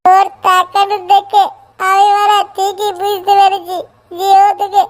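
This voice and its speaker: A man's voice: very high voice, monotone, normal speed. A man voicing a crude, scheming drinking buddy in a comedy cartoon. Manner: coarse, slangy, swearing. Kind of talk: amateur comedy cartoon dub.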